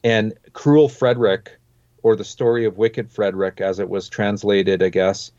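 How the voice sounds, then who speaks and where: A man's voice: fairly low pitch, steady tone, moderate pace, darkish tone, dry recording. A man co-hosting a podcast, rambling casually with lots of fillers. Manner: loose and rambling, many fillers like "like" and "you know". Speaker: podcast co-host. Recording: dry, dull lo-fi mic.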